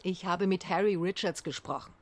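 A woman's voice: on the low side, fairly quick, natural voice. An actress playing a no-nonsense female private detective in a radio drama, direct, businesslike and insistent.

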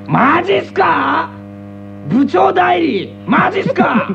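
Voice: extremely high pitch, sing-song, slow pace, creaky. A radio cast member trading casual comic banter with blunt, teasing comebacks. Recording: dull band-limited audio with loud music.

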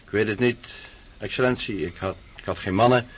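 An actor playing a nervous, deferential army captain in a radio drama, halting and stammering meekly. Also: male voice, fairly low pitch, poor audio. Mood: flustered. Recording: clear background noise.

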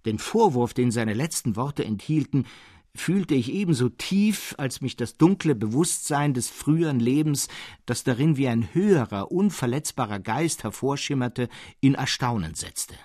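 Husky male literary narrator, very animated. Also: medium pitch, a little slow, dry recording, clean studio sound. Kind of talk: expressive literary audiobook narration in first person.

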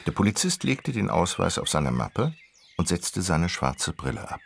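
Narrator for radio drama: man with a very low, gravelly voice, animated literary storytelling, slight background noise. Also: normal speed.

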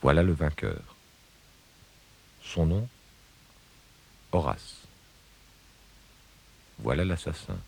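A man playing a grave chronicler of ancient Rome in French, solemn and deliberate, with a gravelly voice. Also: rumbling low, a little mellow, slight background noise, heavily acted. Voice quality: gravelly, creaky. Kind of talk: scripted radio drama narration.

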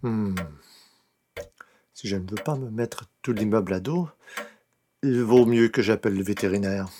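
Casting: male actor as long-suffering everyman, medium pitch, polite with dry asides, old-time radio comedy. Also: a little hiss or hum. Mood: weary. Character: broke, sleep-deprived, polite newspaper columnist, cat owner.

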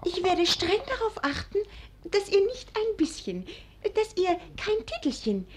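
A woman's voice: extremely high pitch, sing-song, a little slow, noisy background, heavily acted. An actress playing a naive, girlish, insistent young bride in a 1940s radio play. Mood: scolding, mocking.

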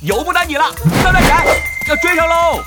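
A man's voice: very high voice, animated, brisk, over loud music. An actor playing a cocky, hyper-talkative young swordsman gamer in an audio drama, chattering with repeats and exclamations. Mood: gloating.

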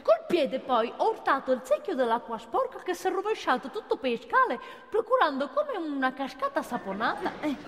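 A woman's voice: sits high, sing-song, fairly quick, few pauses, a bit bright. An actress playing a simple, chatty cleaning woman, rambling earnestly and colloquially in a comic theatre monologue. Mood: naive, animated. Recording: echoey theatre, audience and background noise.

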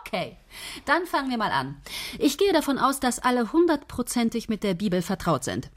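An actress playing a commanding woman head writer who runs a meeting, brisk and bossy. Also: a little high, very animated. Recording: studio radio play over music.

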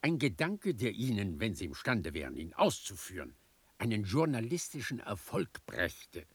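An actor playing a scheming, domineering political boss in a radio drama, condescending and insinuating. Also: male voice, fairly low pitch, sing-song, moderate pace, slight background noise. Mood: sly, condescending.

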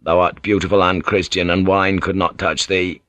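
A man's voice: sits low, flat delivery, moderate pace, fairly bright tone, no room sound. A man playing an earnest, gallant man in an audiobook, with formal, archaic phrasing. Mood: ardent, gallant.

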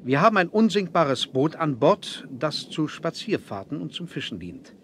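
An actor playing a proud, mysterious submarine captain in an adventure radio play, speaking formally and politely with self-assurance. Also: male voice, fairly high pitch, very animated, normal speed, fairly bright tone. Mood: courteous, inviting. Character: courteous, proud, mysterious male submarine captain, genius and host. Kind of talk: 1970s German adventure radio play acting. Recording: clearly noisy vinyl record transfer.

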